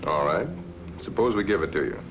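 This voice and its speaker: A man's voice: deep voice, expressive, fairly quick. A man playing a wary, grudging robber in a 1950s film noir. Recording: noisy old 1950s film soundtrack.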